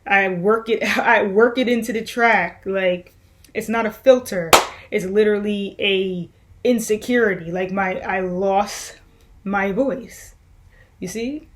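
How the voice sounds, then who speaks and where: A woman's voice: mid-range voice, slow pace, a little hiss or hum. A young woman musician answering viewer questions in a loose, rambling way, with many fillers and restarts. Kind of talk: casual Q&A vlog.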